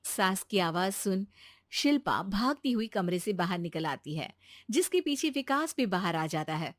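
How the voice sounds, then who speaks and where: A woman's voice: medium pitch, animated, a little slow, dry recording, studio quality. A woman narrating a scripted cartoon family story.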